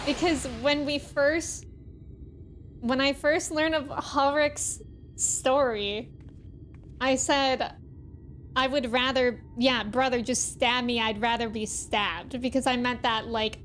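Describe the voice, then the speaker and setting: A woman's voice: high voice, a bit bright, a little hiss or hum. A woman livestreaming a video game, chatting casually and expressively as she reacts and reads chat messages aloud.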